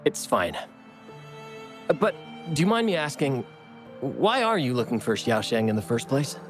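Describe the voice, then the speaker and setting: A man's voice: medium pitch, big pitch swings, a little slow, soft background music. A man playing an easygoing, evasive young researcher in a video game, polite and musing.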